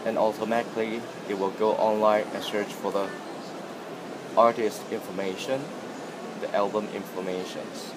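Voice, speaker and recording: A man's voice: lowish voice, level tone, normal speed. A man narrating a product demonstration as its presenter. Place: indoor trade show demo room. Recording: noisy room, air-conditioning hum.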